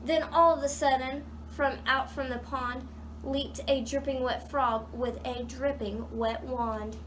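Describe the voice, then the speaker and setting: High-voiced woman reading to children. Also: a little hiss or hum, poor audio. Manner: animated, pausing to question young listeners. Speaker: read-aloud storyteller. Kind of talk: expressive children's picture book read-aloud.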